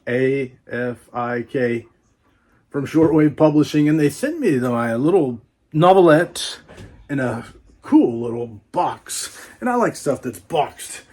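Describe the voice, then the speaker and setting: A man's voice: mid-range voice, animated, slow pace, darkish tone. A man doing a casual, folksy book unboxing vlog, rambling playfully with repeats and little asides.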